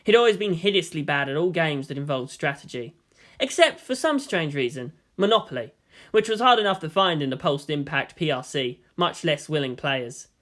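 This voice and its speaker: Casting: male fiction narrator, higher-pitched voice, scripted storytelling. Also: animated, normal speed, fairly bright tone. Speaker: audiobook narrator of fan fiction.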